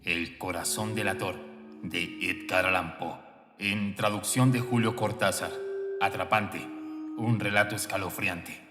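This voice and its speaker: A man presenting a radio fiction show with a theatrical, scripted horror-show delivery. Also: lowish voice. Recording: echoey hall reverb, prominent music. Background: music.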